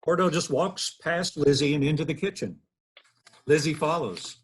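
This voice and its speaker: A man with a husky voice reading scripted narration of stage directions. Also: fairly high pitch, moderate pace. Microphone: video call.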